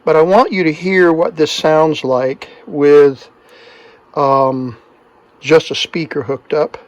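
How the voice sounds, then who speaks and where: Male hobbyist host, slightly high voice, plainspoken, walks viewers through technical steps casually. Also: steady tone, takes it slowly, dry recording, dull audio. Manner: plainspoken, talks through each step. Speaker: host, electronics repair hobbyist. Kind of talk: hands-on demonstration and explanation, casual.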